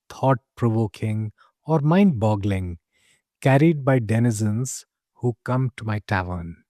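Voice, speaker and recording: Genial, secretive male tavern storyteller, acted by a man, fantasy narration; dark mellow tone, unhurried, confiding, theatrical. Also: mid-range voice, dry recording, studio quality. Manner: deliberate, dramatic, confiding. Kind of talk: scripted first-person fantasy storytelling.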